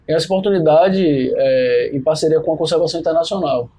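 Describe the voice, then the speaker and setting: Male podcast guest, Bahian Brazilian accent, fairly high pitch, soft mellow tone, husky. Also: quick pace. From Salvador, Bahia, Brazil. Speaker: podcast guest, biology professor and researcher. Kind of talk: conversational account of own career.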